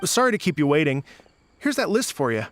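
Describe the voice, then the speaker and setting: An earnest festival organiser in a video game. His voice is on the higher side for a man, with animated intonation and a courteous, welcoming delivery. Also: brisk, no echo. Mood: polite, grateful. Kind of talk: video game cutscene dialogue.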